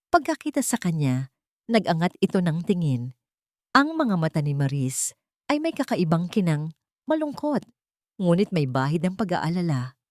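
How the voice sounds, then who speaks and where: A woman's voice: on the low side, mellow, no echo, studio quality. A woman with a Filipino accent giving dramatic scripted narration of a romantic story.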